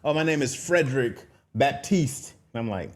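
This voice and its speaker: A man's voice: mid-range voice, lively intonation. A man co-hosting a podcast, chatting casually, teasing and mimicking how others speak. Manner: teasing, laughing, quick to imitate others. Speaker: podcast co-host. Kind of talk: casual chat.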